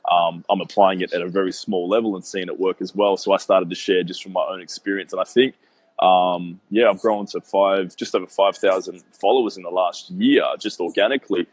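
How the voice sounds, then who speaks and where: Guest, male, 30s. Low, husky voice. Earnest and loose, lots of filler words. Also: fairly even intonation, a little fast. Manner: rambling, earnest, lots of "um" and "you know". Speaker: guest, business owner. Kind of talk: casual interview conversation.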